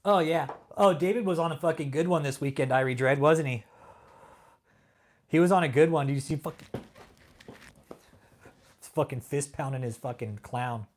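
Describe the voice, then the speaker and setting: A man's voice: fairly high pitch, moderate pace, long pauses. A man from California hosting a live stream, reading chat and taunting a troll with heavy swearing and laughter. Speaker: livestream host. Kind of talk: casual livestream chat.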